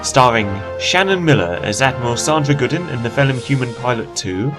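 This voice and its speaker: A man's voice: mid-range voice, lively intonation, fairly quick. A man reading scripted credits as the announcer of an audio production. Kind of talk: scripted narration. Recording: music behind the voice.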